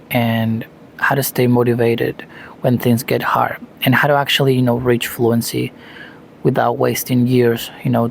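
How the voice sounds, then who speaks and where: Argentine guy, spanish first language, gravelly voice, pretty monotone, says "you know" a lot, bit of faint hiss behind. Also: medium pitch. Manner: leans on "you know" and "um", restarts phrases. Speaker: language learning youtuber. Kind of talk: casual talking-head advice.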